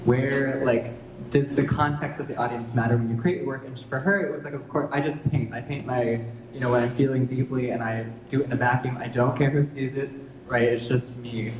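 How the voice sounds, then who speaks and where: This man talks quickly and rambles through his question, leaning hard on "like" as filler. His voice rings in a large, reverberant hall. Clear background noise carries through the recording. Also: mid-range voice, fairly even intonation. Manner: rambling and filler-heavy, saying "like" often. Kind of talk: casual panel conversation.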